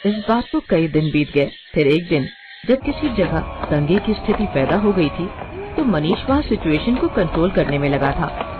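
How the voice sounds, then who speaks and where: A woman narrating a cartoon moral story in an expressive storytelling way. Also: lowish voice, moderate pace. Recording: tinny phone-like sound.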